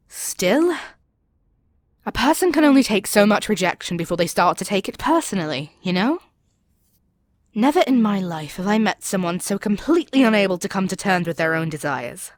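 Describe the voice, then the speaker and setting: Woman acting as low-voiced, imperious seductive demon queen. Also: no echo, close to an everyday voice. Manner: imperious, coaxing, drops into whispers. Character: arrogant, seductive demon queen probing a captive hero's mind. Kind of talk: ASMR audio roleplay monologue.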